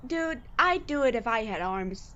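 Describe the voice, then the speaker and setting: A woman's voice: a little high, very animated, a little slow, sharp tone, lo-fi sound, slight background noise, full character voice. A woman playing an eager, loyal young monster boy in an audio drama, talking in earnest slang. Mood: casual, puzzled.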